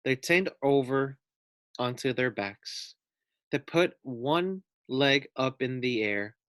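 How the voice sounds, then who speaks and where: A male teacher narrates a storybook for young children. He phrases each sentence deliberately and slowly, at a middling pitch, in a dry recording with no room sound. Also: animated, fairly bright tone, no room sound. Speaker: teacher reading aloud to first graders.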